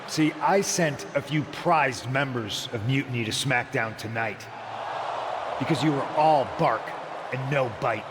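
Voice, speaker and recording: An actor playing a smug, scornful wrestling faction leader in a video game cutscene, speaking in a taunting, condescending way. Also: male voice, mid-range voice, a little slow, background noise, lightly acted.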